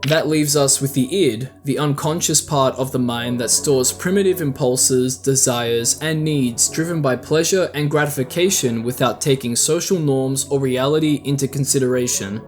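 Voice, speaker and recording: A man's voice: mid-range voice, moderate pace, hardly any pauses. A man delivering scripted video essay commentary in a conversational, opinionated way. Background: background music.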